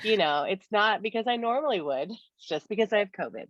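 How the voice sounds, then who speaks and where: Female podcast co-host, deep-voiced and mildly congested, conversational, on a dull, roomy recording. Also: expressive, fairly quick. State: slightly congested from a cold-like illness. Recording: dull, roomy, echoey sound.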